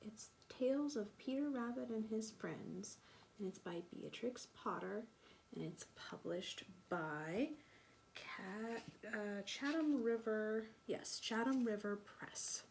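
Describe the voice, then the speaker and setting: A woman reading a picture book aloud for children, slowly and deliberately, with sing-song emphasis. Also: fairly high pitch, creaky, darkish tone, a little hiss or hum, low-quality recording. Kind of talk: read-aloud children's storytelling.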